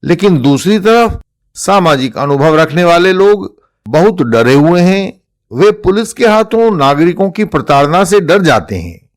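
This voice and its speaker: A man with an Indian accent giving an explanatory legal lecture in a measured, formal manner. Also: a little high. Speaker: presenter explaining court judgments.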